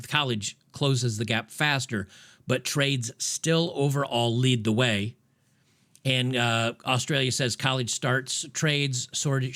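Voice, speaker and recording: A man talks through a casual commentary monologue at an ordinary, middle pitch. He is emphatic and rambling, often restarting his sentences as he pushes his point. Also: fairly bright tone. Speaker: podcast host.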